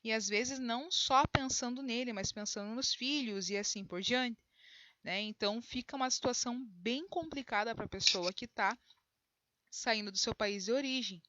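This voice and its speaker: A woman's voice: fairly high pitch, normal speed, bright, forceful tone, dry recording, muffled recording. Brazilian woman teaching a class, dry sound. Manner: explanatory, conversational, frequent tag questions and restarts. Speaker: high school geography teacher. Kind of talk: recorded online lesson.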